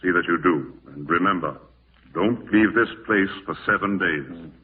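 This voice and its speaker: An actor playing a calm, authoritative doctor, speaking in the measured, formal manner of 1940s radio drama. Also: male voice, sits low, noisy background, close to an everyday voice. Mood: stern. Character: calm, authoritative male doctor and estate executor. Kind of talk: 1940s radio horror acting. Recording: tinny, distant old radio broadcast recording.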